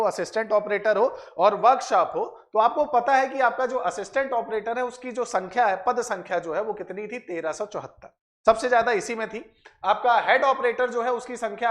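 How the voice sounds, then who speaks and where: Teacher doing an exam prep lesson, medium pitch, repeats stuff and keeps asking if you follow. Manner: repetitive, checks in with tag questions. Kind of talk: explanatory lesson to students.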